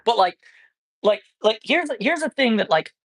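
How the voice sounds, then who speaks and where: A man's voice: sits high, expressive, moderate pace, fairly bright tone. A man chatting casually on a livestream, ranting and swearing as he talks, with lots of false starts and restarts. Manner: ranting, profane, rambling with many restarts. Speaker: livestreamer and cat rescuer. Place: cat colony.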